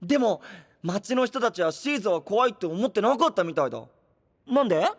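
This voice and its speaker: High-pitched, cheerful boyish guy. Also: big pitch swings, fairly quick, in a large hall, exaggerated voice. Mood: upbeat. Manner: casual, boyish, friendly. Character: cheerful, open-hearted, energetic boyish young man. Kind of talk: Japanese anime-style audio drama acting.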